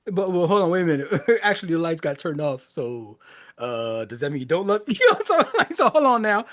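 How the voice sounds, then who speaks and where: A man's voice: a little high, big pitch swings, brisk, a bit bright. A man in his forties, born in Haiti and raised in the United States, talking casually and reflectively as a podcast host, laughing often. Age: 40s. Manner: laughs often, loose and conversational, many restarts and fillers. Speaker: music reaction podcast host.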